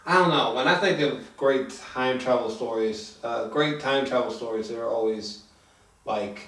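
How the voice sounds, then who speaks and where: Echoey, distant man chatting casually about film. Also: medium pitch. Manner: halting, filler-heavy, swearing, animated when excited. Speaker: movie reviewer and host. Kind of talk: casual film review chat. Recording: echoey room, distant from mic.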